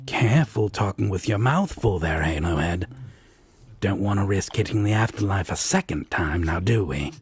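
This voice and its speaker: A man playing a teasing, easygoing devil roommate in an audio drama, with a husky, raspy voice and a drawling, fond manner. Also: deep voice, animated, normal speed. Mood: teasing, relaxed. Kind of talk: roleplay audio drama, comfort scene.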